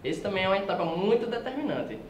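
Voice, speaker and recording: A young man in his twenties argues bluntly on a student panel. He talks quickly in a slightly high voice. The room is echoey, with faint ambient noise around him. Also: very animated. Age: 20s. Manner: blunt, argumentative. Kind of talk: casual panel debate.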